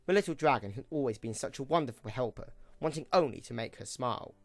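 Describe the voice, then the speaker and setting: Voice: mid-range voice, animated, fast, no echo. Dry-sounding storybook narrator.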